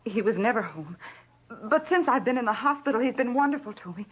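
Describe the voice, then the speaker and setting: Frail, nervous American housewife on old radio. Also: female voice, on the high side, animated, fairly quick, slightly rough. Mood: meek, confiding. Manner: hesitant, anxious, meek. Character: frail, nervous, forgetful housewife recovering from illness. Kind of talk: old-time radio drama acting. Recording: dull, noisy old radio recording.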